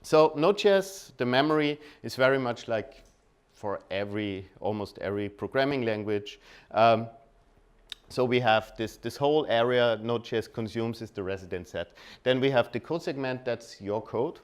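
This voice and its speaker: A man with an Austrian accent and German as his native language, giving an informal technical talk, often halting and rephrasing mid-sentence. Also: mid-range voice, clean tone. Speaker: conference speaker. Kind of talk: technical presentation at a meetup.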